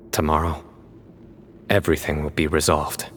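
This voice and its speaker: A man playing a serious, thoughtful, resolute warrior in a fantasy game, speaking in a measured, earnest way. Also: rumbling low, level tone, very noisy. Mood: pensive.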